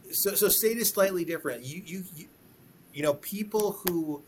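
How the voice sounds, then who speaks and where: A man's voice: high voice, normal speed, a little mellow, husky. A man who is a guest lawyer on a legal podcast, explaining hiring practices in conversation. He often repeats words as he starts a thought and tags his points with "right?".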